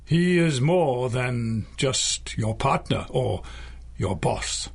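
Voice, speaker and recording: Radio-play mystic hero: solemn, mysterious, unhurried, husky, with expressive swings in a fairly high voice. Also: male voice, heavily acted. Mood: knowing. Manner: measured, formal, mysterious. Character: solemn, enigmatic male mind-reading mystic hero. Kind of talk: 1930s pulp radio drama acting.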